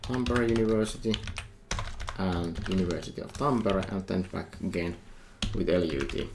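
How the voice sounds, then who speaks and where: A middle-aged man with a Finnish accent, a university lecturer talking through a coding tutorial while he types, thinking aloud in halting phrases. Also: sits low. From Finland. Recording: faint noise with keyboard typing.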